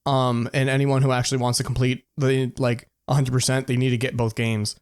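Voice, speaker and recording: A man's voice: mid-range voice, level tone, fairly quick, darkish tone, clean tone. A young man chatting casually as a podcast co-host, blunt and free with swearing. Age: young adult. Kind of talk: casual banter with friends.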